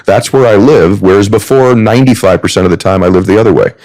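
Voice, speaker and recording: A deep-voiced businessman telling a story in conversation, building to loud, emphatic peaks. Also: fast talker, dry recording, rough recording. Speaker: podcast guest.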